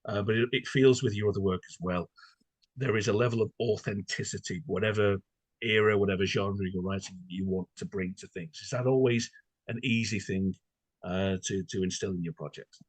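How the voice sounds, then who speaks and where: A man with a very low, rough-edged voice interviewing a guest. He is courteous and halting, feeling his way through his questions. The sound is close and dry. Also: steady tone, no echo. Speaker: podcast interviewer. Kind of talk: conversational interview.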